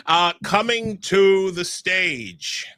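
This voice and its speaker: A man's voice: high-pitched, drawn-out words, sharp tone, no room sound. A young adult man hosting a live podcast, speaking energetically with frequent filler sounds as he runs announcements and introductions. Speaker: show host.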